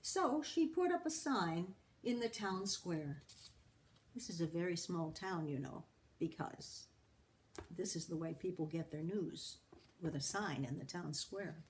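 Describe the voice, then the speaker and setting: Slow, deliberate woman storyteller. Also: lowish voice, animated, a little hiss or hum. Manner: deliberate, explains words to young listeners. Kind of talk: children's story read-aloud.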